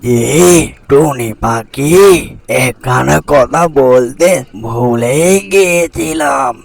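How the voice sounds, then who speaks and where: A man voicing a poor, humble old village grandfather in a cartoon, with plaintive, drawn-out exclamations. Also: mid-range voice, gravelly, no echo. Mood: humble, pleading. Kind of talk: slow cartoon storytelling dialogue.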